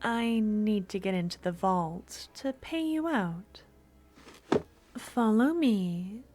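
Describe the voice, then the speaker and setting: A woman's voice: medium pitch, slow, soft background music. A woman playing a possessive yandere merchant. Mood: sweet, teasing. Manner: soft-spoken, sweetly teasing, turning possessive. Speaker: ASMR roleplay performer. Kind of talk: scripted ASMR roleplay.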